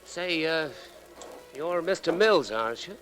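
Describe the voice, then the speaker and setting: A folksy, jittery doorman from a 1940s radio play. His fairly high, bright voice swings widely in pitch as he chatters haltingly, with faint music underneath. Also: animated. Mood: chatty, friendly. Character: chatty, nervous male apartment doorman. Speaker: actor in a detective radio drama.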